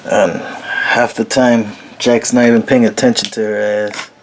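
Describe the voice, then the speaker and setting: Slow, low-voiced man rambling casually. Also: flat delivery, noisy background. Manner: slow, rambling, frequent fillers, laid-back. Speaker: painting tutorial host. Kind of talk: casual narration while painting. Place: small room at a painting table.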